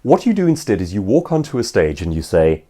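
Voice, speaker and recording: A man who works as an author and professional speaker, giving an informal seminar in an articulate, emphatic storytelling manner with dramatic pauses. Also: lowish voice, very animated, dark tone. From South Africa. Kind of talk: informal seminar answering viewers' questions.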